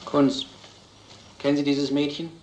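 An actor playing a brisk, authoritative police lieutenant in a crime drama, giving curt commands. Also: male voice, fairly high pitch, flat delivery, darkish tone, lightly acted. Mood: businesslike. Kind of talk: German film crime drama acting. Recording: echoey old film soundtrack, noisy.

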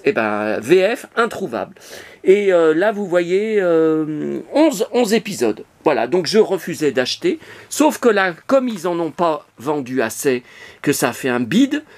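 Chatty man rambling about his collection. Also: on the high side. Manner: chatty, digressive, many hesitations and restarts. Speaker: collector vlogger. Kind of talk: rambling casual monologue to camera. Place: cluttered apartment, indoors.

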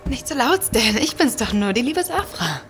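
An actress playing a flirtatious, coy hostess in an audio drama, with a seductive manner. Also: female voice, a little high, big pitch swings, normal speed, a bit bright, soft background music. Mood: coaxing. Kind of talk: German audio drama acting.

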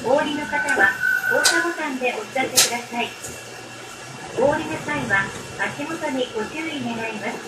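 A woman reading scripted bus announcements in a formal, polite and measured way. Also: high voice, expressive. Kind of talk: scripted public announcement with stop names and advertisements. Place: played over speakers inside a bus. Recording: roomy, distant, noisy bus cabin. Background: loud vehicle noise.

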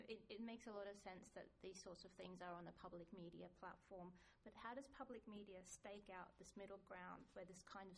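A woman's voice: medium pitch, creaky, slight background noise. A woman moderating a panel, posing questions with hesitant starts.

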